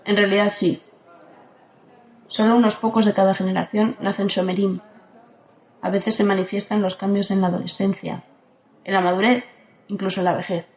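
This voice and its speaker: A woman from playing a calm, ageless guardian who speaks in a steady, firm way in an audio drama. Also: mid-range voice, moderate pace, long pauses, close to an everyday voice. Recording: roomy echo.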